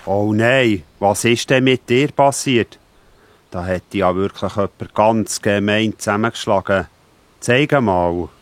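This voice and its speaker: A man's voice: on the low side, a little slow, natural voice. A man playing a kind, reassuring Samaritan traveller in a children's audio drama, with a Swiss German accent. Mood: concerned. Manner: caring, reassuring. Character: kind, calm Samaritan traveller tending the wounded.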